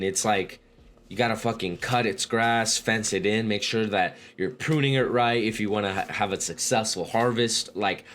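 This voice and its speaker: A man's voice: lowish voice, fairly bright tone, a little hiss or hum. A man reading a book aloud as a read-along host, with informal, slangy commentary. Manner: profane, informal asides with bro slang.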